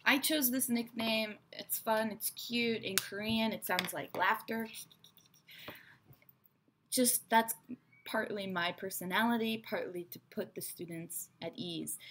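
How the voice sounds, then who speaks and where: A woman in her thirties, raised between Israel and America, giving a chatty, frank English lesson to her webcam in a small bright room. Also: medium pitch. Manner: chatty, frank, teacherly asides. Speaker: English teacher. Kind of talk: online English lesson to camera. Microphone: webcam at desk.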